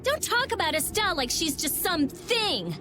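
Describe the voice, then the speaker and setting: A woman's voice: extremely high pitch, big pitch swings, moderate pace, music in the background. A woman voicing a spirited, earnest and fiercely loyal young girl heroine in a video game. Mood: indignant, determined.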